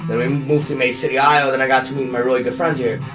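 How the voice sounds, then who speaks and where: A man's voice: medium pitch, little pitch movement, fast. A young man from Pakistan who talks in a rambling, heartfelt way, full of "dude" and "like", chatting with friends on a podcast. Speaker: podcast guest. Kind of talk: casual chat. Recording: far from the mic, with guitar music.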